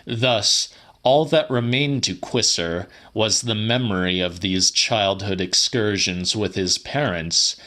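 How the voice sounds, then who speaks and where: A man reads literary fiction as a dramatic audiobook. His voice is on the low side and bright, with a firm edge. He takes his time, very slow and deliberate through each phrase. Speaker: audiobook narrator.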